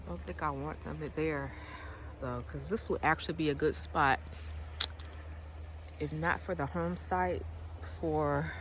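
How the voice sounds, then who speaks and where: A woman's voice: low voice, noisy background. Chatty woman with a cold, outdoors. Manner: chatty, loose and rambling, repeats words. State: has a cold, clears throat. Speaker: farm owner vlogger. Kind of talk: casual walking tour vlog. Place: outdoors on rural land in the rain.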